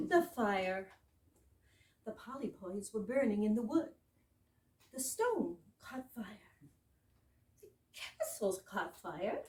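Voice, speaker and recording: A woman acts an earnest, stubborn housemaid in an absurdist stage comedy. The delivery is slow and theatrical, heard from a distance in a roomy space. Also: on the high side, darkish tone. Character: stubborn young housemaid, earnest amateur poet.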